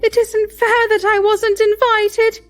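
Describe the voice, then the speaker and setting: A woman playing a petulant, wounded young royal mistress in a plaintive, high-strung, melodramatic audio drama style. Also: level tone, slow, soft background music.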